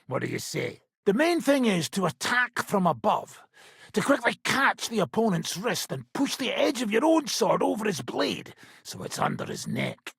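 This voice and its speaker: Cocky crude brit mercenary for a game, super gravelly, voice jumps all over the place. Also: male voice, high-pitched, wildly swooping pitch, normal speed, fairly bright tone, no room sound, studio quality, full character voice. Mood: instructing, cocky. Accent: British. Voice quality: gravelly, raspy. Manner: swaggering, rough, teasing. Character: boisterous hard-drinking male mercenary swordsman, cocky and crude. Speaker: combat trainer. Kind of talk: video game character dialogue.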